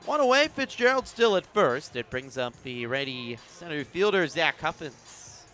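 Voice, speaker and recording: A man's voice: a little high, sing-song, normal speed, fairly bright tone, faint music behind. A man doing live radio play-by-play commentary of a baseball game as the announcer. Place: broadcast position at an outdoor ballpark in the evening.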